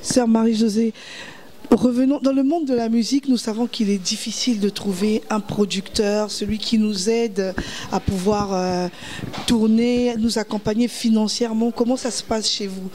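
A woman's voice: fairly high pitch, steady tone, normal speed, dark tone, slight background noise. A woman interviewer asking questions in a formal, slightly hesitant way, speaking into a handheld mic on a small indoor set.

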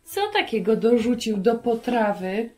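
A woman in her thirties hosting a children's lesson, talking to young viewers in an animated way and asking them questions. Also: a little high, unhurried, soft background music. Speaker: children's Bible lesson host. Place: carpeted room at home.

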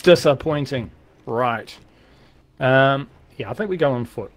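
A man's voice: medium pitch, a little slow. A man talking casually as he plays a co-op video game with a teammate. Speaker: gamer in a co-op session. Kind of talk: casual gameplay chat.

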